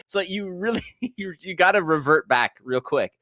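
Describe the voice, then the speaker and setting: A man's voice: sits high, animated, normal speed, pushed and bright, no echo. A man from Quebec, a tournament caster, joking and teasing playfully in a casual panel chat. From Quebec, Canada.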